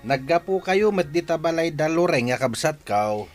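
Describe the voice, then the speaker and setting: An animated male voice from an actor playing a gossipy neighbour in an audio drama. He is chatty and sympathetic, with expressive rises and falls in pitch. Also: moderate pace, soft background music, close to an everyday voice. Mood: curious, sympathetic. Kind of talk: Ilocano radio-style audio drama acting.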